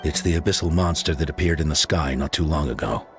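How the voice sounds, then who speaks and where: A deep-voiced solemn elder. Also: male voice, fast, faint music behind. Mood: grave. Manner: formal, explanatory, somber. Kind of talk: video game voice acting.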